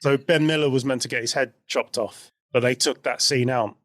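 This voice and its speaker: A man's voice: mid-range voice, steady tone, normal speed, a bit bright, no room sound, clean studio sound. A British man speaking casually and informally as a podcast co-host, freely giving his opinions. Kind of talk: casual chat.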